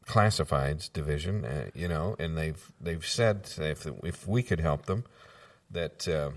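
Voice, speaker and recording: A man playing a rambling, unsure small-town radio announcer in improvised comedy, halting and trailing off as he goes. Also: a bass voice, distant mic, voice pushed down. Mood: muddled, hesitant.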